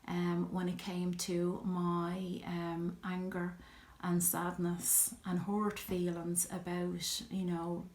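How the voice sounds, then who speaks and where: A middle-aged woman with an Irish accent, talking reflectively in a personal vlog, pausing often with ums. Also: on the low side, reverberant. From Ireland. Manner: reflective, earnest, many ums and you-knows. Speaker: vlogger.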